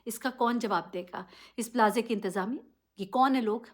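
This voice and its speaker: A woman's voice: on the high side, normal speed. A woman giving unscripted news commentary, addressing listeners directly and emphatically with rhetorical questions. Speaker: vlogger presenting news commentary.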